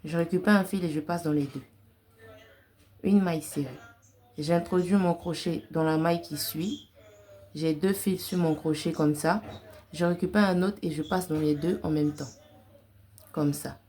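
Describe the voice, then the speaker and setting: Young woman with a low, mellow voice. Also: fairly even intonation, moderate pace, long pauses. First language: not French-only, has a self-described slight accent. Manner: informal, girlfriend-to-girlfriend address with colloquial tags. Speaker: crochet tutorial host. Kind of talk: casual chatty tutorial.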